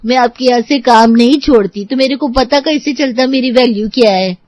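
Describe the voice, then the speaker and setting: A woman's voice: a little high, brisk, darkish tone, dry recording, close to an everyday voice. A woman playing a shrewd, stubborn older housemaid in an audio story, with a colloquial servant's way of talking. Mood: pointed, bargaining. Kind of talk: scripted audio story dialogue.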